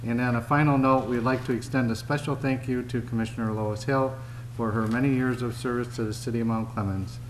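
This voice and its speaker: A man in the role of city manager, reading prepared announcements in a steady, formal way. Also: medium pitch, brisk, a little hiss or hum, low-quality recording. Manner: steady, formal, reads from notes. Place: city commission chamber.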